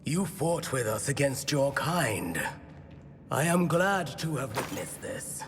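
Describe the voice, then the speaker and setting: Very slow, solemn centaur. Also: male voice, medium pitch, sing-song. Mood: solemn respect. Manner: formal, deliberate, weighty. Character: solemn elder male centaur, stern and dignified. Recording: long reverb, noisy ambience behind.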